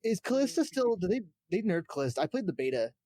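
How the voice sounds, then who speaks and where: A man's voice: high voice, big pitch swings, fast talker, warm tone, no echo. A man chatting casually about games on a podcast. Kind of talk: casual gaming chat.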